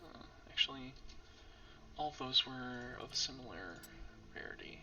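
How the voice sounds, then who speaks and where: A man with a voice worn down by illness mumbles and half-whispers his way through a game, slow and gravelly, with a bright, tense edge. His pitch wanders widely even when his voice cracks. The recording is dull and lo-fi, picked up from off the mic with faint hiss. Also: distant mic. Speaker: streamer playing a game. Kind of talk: casual let's-play commentary.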